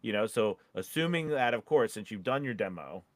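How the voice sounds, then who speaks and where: A man giving casual, conversational business advice in an animated, halting way, often checking in with short tag questions. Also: lowish voice, no echo. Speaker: podcast host and voice-over coach.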